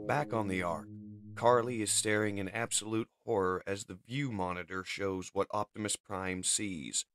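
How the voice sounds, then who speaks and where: A man's voice: on the low side, fairly even intonation, normal speed, a bit bright. A man reading dramatic scripted narration of a comic-book story.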